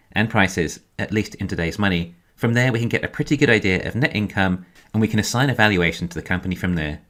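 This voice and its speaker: Guy with a pretty low voice doing scripted finance narration, measured, explaining stuff as he goes. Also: fairly bright tone. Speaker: YouTube finance commentator.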